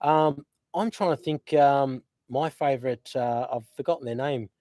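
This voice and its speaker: An Australian man hosting a casual live stream outdoors, rambling with lots of fillers and gentle teasing. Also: mid-range voice, normal speed, no room sound, studio quality. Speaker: host. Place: outdoors by a river.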